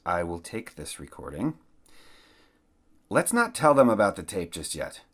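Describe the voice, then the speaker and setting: Corrupt mayor played by a man: low-pitched, scheming, bossy, for audio drama. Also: big pitch swings, a little slow, a bit bright, full character voice. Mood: cool, calculating.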